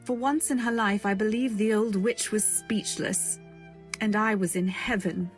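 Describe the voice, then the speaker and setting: Actress as young bride telling her story radio play style, dreamy, mid pitch, bit of music behind. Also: natural voice. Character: dreamy, naive young female newlywed narrator. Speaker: narrator and lead. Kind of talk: dramatic first-person storytelling, old-time radio style. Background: faint music.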